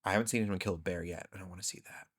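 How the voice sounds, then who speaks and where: A man hosting a podcast, telling rambling, animated stories in casual conversation. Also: low voice, very fast. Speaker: podcast host.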